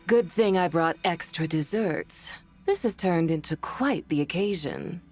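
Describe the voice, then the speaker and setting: A woman's voice: fairly low pitch, lively intonation, measured pace, darkish tone. A woman playing an elegant, poised lady in a video game, superior and teasing in manner. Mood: amused. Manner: smooth-tongued, superior, teasing. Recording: music under the voice.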